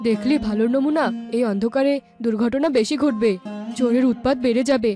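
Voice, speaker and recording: A woman's voice: fairly high pitch, a little fast, dark tone, natural voice. A woman voicing a sensible, worried village mother in a cartoon folk tale. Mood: admonishing. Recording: music behind the voice.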